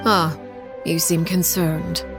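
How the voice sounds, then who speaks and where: A woman voicing a cold, commanding matriarch who rules an orphan household, in video game dialogue. She speaks in a calm, measured and quietly menacing way. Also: sits low, over music. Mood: cool menace.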